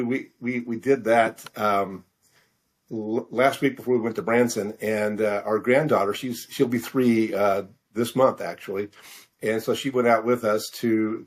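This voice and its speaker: An older American man from Missouri chatting casually as an interview guest, halting and often correcting himself as he rambles. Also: lowish voice. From Missouri, United States. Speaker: guest, fiction author and physician.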